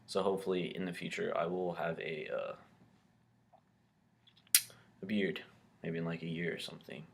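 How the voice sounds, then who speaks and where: A man's voice: deep voice, gravelly, a bit bright, slight background noise. A young man talking casually to his camera in a vlog, rambling with lots of ums and likes. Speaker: vlogger.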